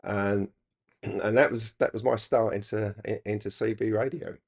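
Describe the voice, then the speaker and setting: An older man reminiscing casually in a halting, rambling, reflective way. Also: lowish voice, steady tone, vocal fry. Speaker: hobby radio channel presenter.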